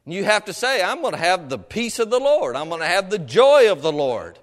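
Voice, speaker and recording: A man's voice: high-pitched, sing-song, fairly quick. A middle-aged man preaching a sermon in a folksy, exhorting manner, punctuating his points with questions and amens to the congregation. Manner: folksy, colloquial phrasing. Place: preaching to a congregation in a large room. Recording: reverberant hall with long echo.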